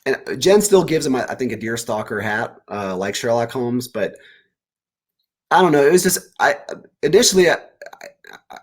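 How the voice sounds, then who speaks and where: A man talking casually as an author guest in an interview, animated and rambling as he pieces ideas together aloud. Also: medium pitch, normal speed, long pauses, breathy edge. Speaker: children's book author, guest. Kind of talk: casual interview answers about writing.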